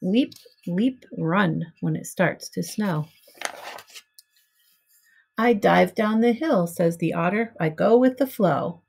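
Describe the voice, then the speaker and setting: A middle-aged woman reading a picture book aloud to young children in simple, measured storybook phrasing. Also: on the low side, gravelly, darkish tone, slight background noise. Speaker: preschool teacher reading aloud. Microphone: webcam at arm's length.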